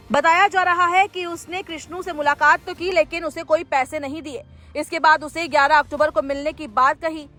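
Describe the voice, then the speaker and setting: A woman with a high-pitched voice narrates a news report as a presenter. She reads from a script, and faint music plays behind her. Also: bright, forceful tone. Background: background music.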